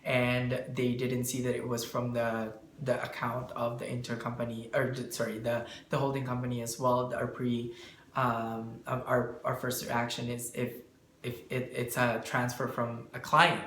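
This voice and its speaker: A man answering interview questions as a bookkeeper, explaining in a halting way and searching for words. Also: mid-range voice, completely flat delivery, fairly bright tone. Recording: echoey room, faint background noise.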